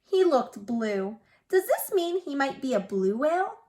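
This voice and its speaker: A woman's voice: sits high, very animated, unhurried, a little mellow. A woman voicing an earnest young girl writing letters in a picture-book read-aloud. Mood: eager, earnest.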